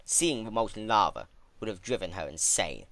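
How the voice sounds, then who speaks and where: A man narrates a story in a dramatic reading. His voice is fairly low with a forceful, bright edge and wide swings in pitch, recorded close and dry. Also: expressive, normal speed, vocal fry, no echo. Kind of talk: dramatic scripted narration of fan fiction.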